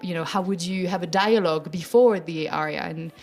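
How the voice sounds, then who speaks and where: A woman with a Swiss French accent, native French speaker, talks eagerly and warmly. She is a mezzo-soprano describing her work, and she laughs often as she speaks. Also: on the low side, fairly quick, soft background music. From French-speaking Switzerland. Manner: enthusiastic, warm, laughs often. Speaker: mezzo-soprano and children's opera workshop leader. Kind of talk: festival interview.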